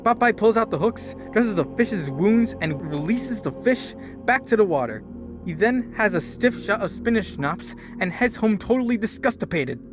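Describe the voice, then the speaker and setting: A man with a high-pitched voice reads a story aloud as a dramatic narrator. His delivery is theatrical and emphatic, with music playing behind him. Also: expressive, moderate pace. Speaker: narrator doing a dramatic reading. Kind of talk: dramatic reading of a written story.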